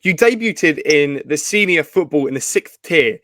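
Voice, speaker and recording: A man's voice: fairly high pitch, expressive, moderate pace, a bit bright, no echo, clean studio sound. A man hosting an interview show, talkative, asking long framing questions.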